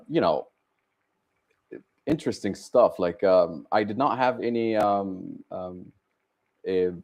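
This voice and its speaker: Deep-voiced Egyptian man, hesitant speech. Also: darkish tone. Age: 30s. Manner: hesitant, many ums and uhs. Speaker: guest, tech startup co-founder. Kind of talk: casual interview answers.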